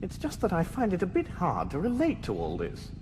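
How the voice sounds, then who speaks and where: A man's voice: high-pitched, wildly swooping pitch, brisk. An actor playing a bewildered, mild-mannered human everyman in a radio comedy, hesitant and politely baffled. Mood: earnest, perplexed. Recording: reverberant hall with background noise.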